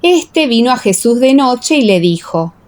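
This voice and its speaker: A woman reads as narrator of a dramatized scripture audiobook. Her voice sits a little high. She phrases carefully and keeps a solemn, storytelling delivery. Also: very animated, unhurried, a little mellow.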